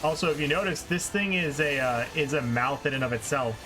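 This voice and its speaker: A man's voice: a little high, brisk, low-quality recording. A man giving casual, explanatory live commentary as he streams a video game. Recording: game music behind the voice. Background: video game music.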